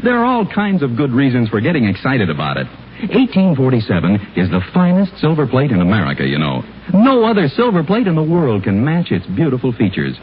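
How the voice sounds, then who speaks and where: A man working as a radio announcer and sponsor pitchman, with a folksy, polished 1940s advertising delivery. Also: wildly swooping pitch, a little fast, highs cut off. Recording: thin, noisy old radio recording.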